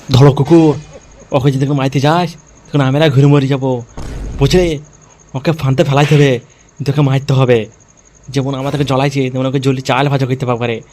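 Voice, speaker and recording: A man's voice: medium pitch, darkish tone. Crude, threatening cartoon gang schemer, voiced by a man. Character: foul-mouthed vengeful male gang schemer in comedy cartoon. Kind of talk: comedy cartoon character dialogue. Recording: music behind the voice.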